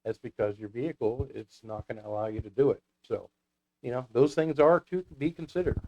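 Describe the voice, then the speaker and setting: A man's voice: medium pitch, a little fast, a little mellow, rough recording. A man talking casually as a guest about his hobby, in a folksy, rambling storytelling way. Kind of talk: casual hobby talk with anecdotes.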